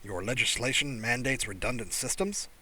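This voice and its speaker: A man voicing a small, furry male alien pilot, wry and curious, with a baritone voice, in an audiobook. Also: normal speed, sharp tone, breathy edge, no room sound, low-quality recording. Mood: enthusiastic, curious. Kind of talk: audiobook character voice.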